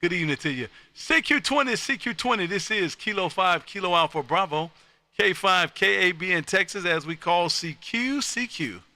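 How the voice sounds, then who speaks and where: An American man hosting amateur radio contacts on a live stream, loud, exuberant and folksy, often repeating his phrases. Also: high-pitched, lively intonation, moderate pace, dry recording.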